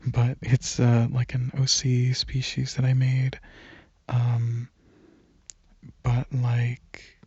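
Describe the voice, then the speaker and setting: A man rambles casually in a soft ASMR style, very breathy and often close to a whisper. His delivery is slow and hesitant, with informal phrasing. The recording is close and slightly muffled. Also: medium pitch, monotone, no room sound. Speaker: ASMR channel creator.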